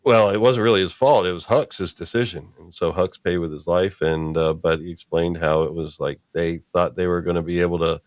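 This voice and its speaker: Male host, low register, dry close sound, informal rambling fan commentary. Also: fairly even intonation, a little fast, few pauses, dry recording. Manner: chuckling, rambling fan talk. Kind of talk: casual live-stream discussion.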